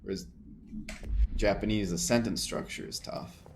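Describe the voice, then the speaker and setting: A man's voice: lowish voice, normal speed, creaky, slight background noise. A man playing a video game for the first time, chatting with viewers and muttering what he reads on screen. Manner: chatty, thinks aloud, mutters menu text. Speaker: gaming streamer. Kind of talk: casual let's play commentary.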